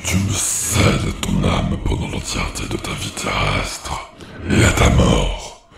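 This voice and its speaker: A man playing the devil in a comic audio drama, a grandiose, menacing but easily exasperated lord of darkness with a gravelly, whispery growl. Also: a bass voice, sing-song, takes it slowly, echoey room, slight background noise, full character voice. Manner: solemn pronouncements slipping into casual irritation.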